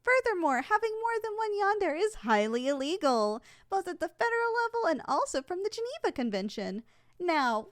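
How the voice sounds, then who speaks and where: Female actor as polite, unflappable saleswoman for an audio roleplay; high, very animated voice, formal sales patter. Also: no echo, studio quality. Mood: polite, businesslike. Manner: formal, courteous, matter-of-fact about danger. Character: polite, unflappable saleswoman giving a yandere shop tour.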